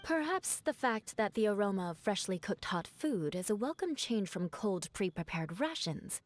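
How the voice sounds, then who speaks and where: A woman's voice: mid-range voice, very animated, normal speed, slight background noise, natural voice. A woman voicing a proud, composed female knight captain who speaks in a dry, somewhat formal way. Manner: dry, poised, a little formal.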